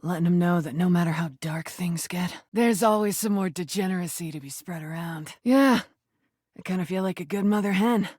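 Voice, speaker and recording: A woman playing a brash, sarcastic rubber duck in a video game, with a tough, slangy, husky delivery. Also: fairly low pitch, moderate pace, darkish tone, dry recording, studio quality. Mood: cocky, begrudgingly warm. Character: brash, sarcastic, crude punk rubber duck, secretly caring.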